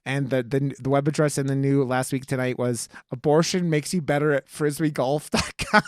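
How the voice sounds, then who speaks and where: A man's voice: medium pitch, fairly even intonation, normal speed, no room sound. A man hosting a casual podcast, chatting about films in a gushing, enthusiastic way with plenty of swearing. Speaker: podcast host. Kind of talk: casual, profane film review chat.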